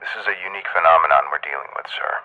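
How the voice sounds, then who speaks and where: An actor playing a grave, measured official giving a formal, clinical briefing to the president in an audio drama. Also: male voice, a bass voice, narrow, tinny audio.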